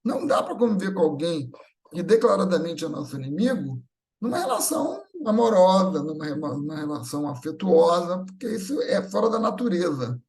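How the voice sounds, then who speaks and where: Man, Brazilian accent, high voice, swooping intonation, conversational sermon, teacherly, repeats phrases for emphasis. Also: sing-song, fairly quick, creaky, darkish tone, low-quality recording. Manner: didactic, repeats phrases, frequent rhetorical questions and check-in tags. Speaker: speaker at a Spiritist gathering. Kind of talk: spiritual talk, conversational preaching.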